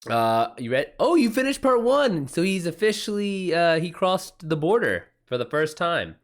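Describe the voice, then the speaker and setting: A man's voice: a little high, sing-song, normal speed. A man chatting informally as an author on a livestream, rambling in loose, slangy, sweary talk. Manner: rambling, retells exchanges in quoted back-and-forth. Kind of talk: casual livestream chat with anecdotes.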